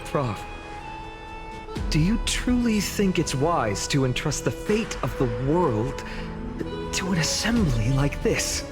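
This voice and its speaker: A man's voice: on the high side, very animated, slow pace, long pauses. A young actor voicing an earnest former student who questions his professor respectfully. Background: game background music.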